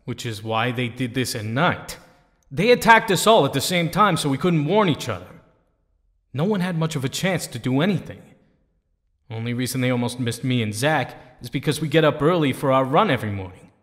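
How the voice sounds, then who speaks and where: An actor playing a serious, reassuring young male captive hero in an audio drama, speaking in a measured way. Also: mid-range voice, animated, a bit bright, natural voice. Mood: grave, suspicious. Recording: long hall-like reverb.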